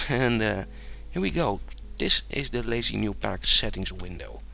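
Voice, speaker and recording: A man's voice: fairly low pitch, measured pace, creaky. A young man with a Dutch accent, casually narrating a game tutorial over his screen, rambling with lots of fillers and little chuckles. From Netherlands. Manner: relaxed, rambling, many fillers, chuckles often.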